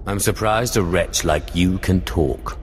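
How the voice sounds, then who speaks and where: Low-voiced haughty Sith acolyte. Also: male voice, unhurried. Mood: cold, disdainful. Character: haughty, cold young male Sith acolyte, player hero. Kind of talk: video game dialogue acting. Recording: noisy game capture.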